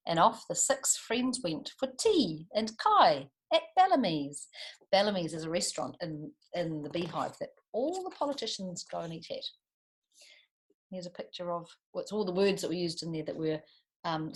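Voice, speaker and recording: Expressive New Zealand woman reading to children. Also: on the low side, low-quality recording. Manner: expressive, chatty, teacherly asides. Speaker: storytime reader for children. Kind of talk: animated picture-book read-aloud with chatty asides to children.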